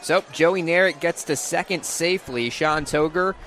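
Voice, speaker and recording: A man's voice: fairly high pitch, normal speed, fairly bright tone, faint music behind. A young man calling a game live as a sports play-by-play announcer. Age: young adult.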